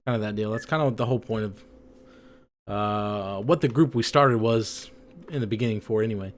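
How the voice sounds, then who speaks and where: A man's voice: lowish voice, brisk. A young man co-hosting a podcast in casual chat, a bit tipsy from drinks, rambling with lots of fillers. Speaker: podcast co-host.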